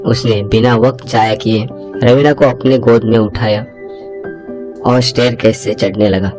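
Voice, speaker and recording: A man narrating a bedtime story in a mid-range voice, dramatic and measured, with loud music running beneath every line. He lifts his voice when he speaks for the young hero. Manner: dramatic storyteller, voices the hero's lines higher.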